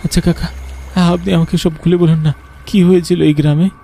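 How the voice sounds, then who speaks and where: A man's voice: fairly high pitch, little pitch movement, a little fast, vocal fry, dark tone, pitched above the performer's own voice. A man voicing an anxious young grandson in a cartoon horror story, polite, pleading and full of questions. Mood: earnest questioning.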